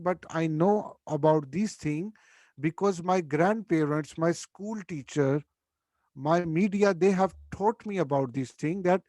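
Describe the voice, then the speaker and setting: A man speaking as a spiritual life coach, talking reflectively and listing examples one short phrase at a time, with pauses and restarts. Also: on the high side, a little mellow, no room sound.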